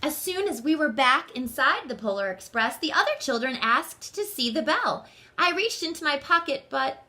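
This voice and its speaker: A woman reading a children's story aloud with dramatic, deliberate phrasing and questions put to her listeners. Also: a little high, pushed and bright. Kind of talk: expressive children's read-aloud with asides to the listeners.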